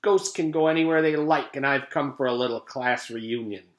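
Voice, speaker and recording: A man's voice: medium pitch, moderate pace, close to an everyday voice. A man voicing the mean, menacing ghost of a woman teacher in a children's story. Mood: gloating. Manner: menacing, taunting. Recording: echoey room.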